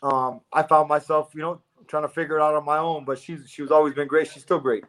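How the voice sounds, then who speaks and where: A man in his forties from Miami, a fighter chatting as a guest in a relaxed way, laughing easily and stammering into his answers. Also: fairly high pitch, quick pace. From Miami, United States. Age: 40s. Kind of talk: casual interview chat.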